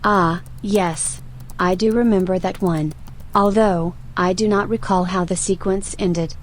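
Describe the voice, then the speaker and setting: A woman's voice: mid-range voice, steady tone, slight background noise, close to an everyday voice. A woman playing a composed, inquisitive artificial intelligence with a formal, dry manner in an audio roleplay. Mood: calm, curious. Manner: formal, measured, matter-of-fact. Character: composed, inquisitive female archive AI with dry attitude.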